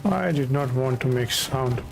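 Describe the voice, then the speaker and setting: A man streaming a video game, giving casual live commentary into a desktop microphone with loud game music behind him. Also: mid-range voice, a little slow, creaky. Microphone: desktop USB microphone.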